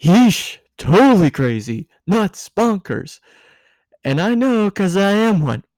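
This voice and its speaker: A man's voice: high-pitched, very animated, takes it slowly, a little mellow, no echo, clean studio sound, voice pushed up, exaggerated voice. A man voicing a tipsy, giggly, bossy woman handing out rambling, emphatic love advice in a fan fiction reading. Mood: loud, tipsy.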